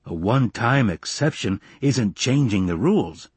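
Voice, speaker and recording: A male narrator acts an exasperated husband and father in an audiobook novel. He is firm and placating, in a mid-pitched, slightly husky male voice. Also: lively intonation, a little mellow, no echo. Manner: exasperated, measured.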